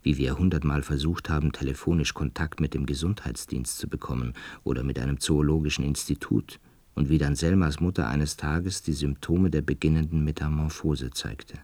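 A man's voice: extremely low pitch, normal speed, dark tone, dry recording. An actor playing a pensive, resigned man in a radio play, recounting and explaining things in a hesitant, musing way. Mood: resigned, reflective. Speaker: narrator and lead character of a radio play. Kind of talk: intimate reflective radio drama narration and dialogue.

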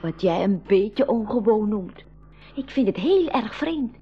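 A woman's voice: mid-range voice, expressive, unhurried, husky. A woman with a Flemish accent playing a bold, suspicious seventeen-year-old sister in a 1980s school radio play, in an insistent, conspiratorial manner. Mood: determined, urgent. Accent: Belgian Dutch (Flemish). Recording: dull lo-fi 1980s radio recording.

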